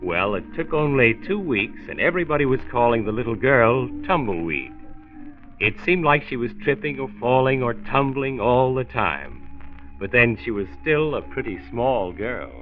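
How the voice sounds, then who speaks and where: An actor playing a folksy, kindly county doctor who narrates a 1940s radio series. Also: male voice, mid-range voice, sing-song, normal speed, faint music behind, natural voice. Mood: folksy storytelling. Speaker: narrator host. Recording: tinny 1940s radio transcription recording.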